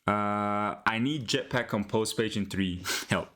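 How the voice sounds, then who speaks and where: A man's voice: fairly low pitch, measured pace. A young man from Colombia chatting casually with viewers during a live coding stream, laid-back and slangy, restarting words and sometimes dropping into Spanish, speaking into a headset. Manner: relaxed, slangy, switches into Spanish, frequent restarts. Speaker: live-streaming app developer.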